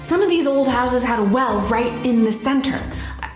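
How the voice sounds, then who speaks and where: A woman plays a practical, no-nonsense small-time crook in a noir audio drama. The voice is fairly high and very lively in pitch, slangy and impatient, in a roomy echo. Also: moderate pace, soft background music, lightly acted. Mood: skeptical. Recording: echoey, roomy sound.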